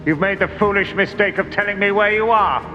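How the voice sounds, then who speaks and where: Guy acting evil arrogant overlord in audio drama, high menacing voice, music behind. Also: brisk, lightly acted. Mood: imperious anger. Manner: menacing, imperious. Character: arrogant, unhinged evil male Time Lord tyrant. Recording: dull muffled sound, music underneath.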